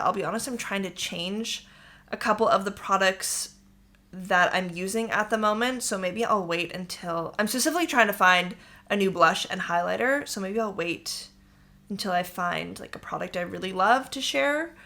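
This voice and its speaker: Bright-voiced chatty woman podcaster. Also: medium pitch. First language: English. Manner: chatty, rambling, upbeat. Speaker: podcast host. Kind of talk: casual chat podcast. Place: warm apartment room.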